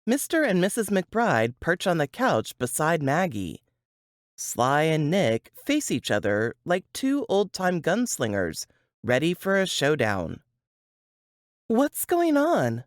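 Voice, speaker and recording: A woman reading a first-person novel aloud as its author, with deliberate, dramatic phrasing. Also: low voice, big pitch swings, no room sound, studio quality. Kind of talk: expressive first-person fiction narration.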